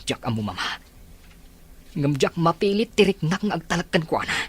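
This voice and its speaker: A man with an Ilocano accent playing a grieving, suspicious yet gentle adult son in a radio drama. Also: a little high, very animated, moderate pace, husky, heavily acted. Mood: troubled, suspicious.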